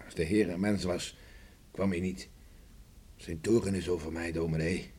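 Grim, husky, low-voiced mourning husband. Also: male voice, fairly even intonation, unhurried, darkish tone. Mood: bitter, unyielding. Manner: grim, resigned, curt. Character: stubborn, guilt-ridden, devout mourning husband. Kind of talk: Dutch radio play acting. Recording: old radio play recording.

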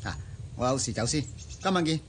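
An actor playing a breezy rich bachelor with a knowing, insistent manner in a Hong Kong Cantonese radio drama. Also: male voice, medium pitch, expressive, brisk, a little hiss or hum, natural voice. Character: breezy, pushy rich bachelor and old friend.

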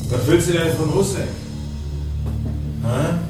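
An actor playing a hostile, suspicious barkeeper in a radio crime drama, speaking brusquely and with challenge. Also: male voice, a little high, slow pace. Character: curt, hostile male barkeeper, suspicious of strangers. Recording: very echoey room, distant, music behind.

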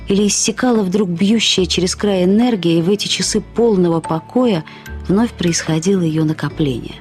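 A woman reading literary prose as a radio storyteller, in an expressive, reflective, measured way. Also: on the low side. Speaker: narrator of a short story. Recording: studio radio production with music. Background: music.

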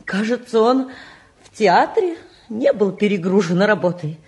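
A woman's voice: medium pitch, big pitch swings, measured pace, darkish tone. An actress playing an anxious, reproachful middle-aged mother in a 1950s Soviet radio play, with a fretful, theatrical delivery.

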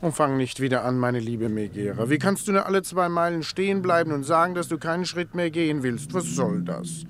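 An actor playing a meek, long-suffering husband in a theatrical radio play, placating and dryly ironic. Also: male voice, fairly high pitch, lively intonation, brisk, hardly any pauses, a little hiss or hum, natural voice. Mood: coaxing.

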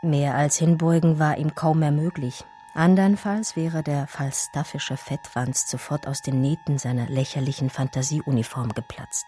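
A woman's voice: low voice, steady tone, moderate pace, hardly any pauses, mellow, soft background music, close to an everyday voice. A woman playing an articulate, biting art historian who tells her own story in a radio drama. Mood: scornful, shaken. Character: female art historian recounting her discovery in first person. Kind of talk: dramatic first-person radio play narration.

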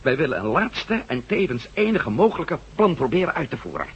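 A man's voice: fairly high pitch, very animated, fairly quick, fairly bright tone, husky, muffled recording, heavily acted. A man acting an urgent, commanding adventurer leading a resistance team in a Dutch radio drama. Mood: firm, serious. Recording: very noisy radio drama recording.

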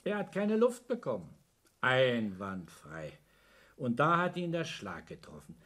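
A talkative family doctor in a 1960s radio drama, speaking slowly. His fairly high voice swings up and down as he offers matter-of-fact platitudes about death. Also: exaggerated voice. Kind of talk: 1960s German radio play acting.

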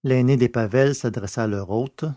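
A man narrating a science-fiction story from a script in a measured, literary way. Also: medium pitch, warm tone, dry recording, clean studio sound.

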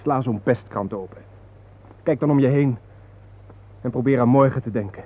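An actor playing a troubled, weary family man, earnest, halting and defensive, in a naturalistic radio drama. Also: mid-range voice, lightly acted. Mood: despairing. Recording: tinny narrow-band old radio recording, hissy.

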